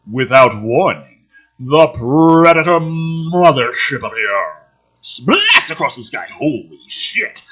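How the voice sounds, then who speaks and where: A man gives a theatrical script reading with a bright, punchy, slightly rough voice. His pitch swings widely as he leans into the action. Between lines he slips into casual, sardonic commentary with some swearing. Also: poor audio. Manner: animated, emphatic, theatrical.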